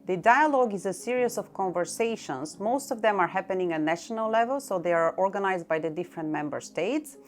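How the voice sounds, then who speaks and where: A middle-aged woman from Bulgaria with a Bulgarian accent, explaining her work in a measured way and listing items one by one. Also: medium pitch, animated, slight background noise. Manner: measured, lists items one by one with short pauses. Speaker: EU youth coordinator. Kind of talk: explanatory talk to camera. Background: faint background music.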